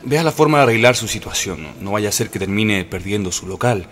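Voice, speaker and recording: An actor playing a firm, formal banker in a radio drama, speaking in an admonishing manner. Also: male voice, lowish voice, expressive, a little fast, fairly bright tone, faint music behind.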